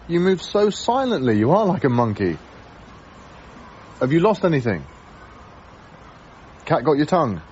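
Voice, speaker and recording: A man's voice: medium pitch, a little mellow, a little hiss or hum, close to an everyday voice. Radio play lead: actor as friendly young male lodger, chatty and mild, very varied intonation, muffled sound. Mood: friendly, curious. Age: young adult. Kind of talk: naturalistic radio drama acting and first-person narration.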